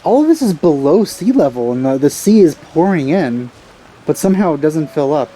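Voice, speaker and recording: A woman's voice: low-pitched, very animated, normal speed, dark tone, low-quality recording. A woman giving casual let's play commentary, musing aloud as she reacts to the game. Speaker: let's player. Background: game music.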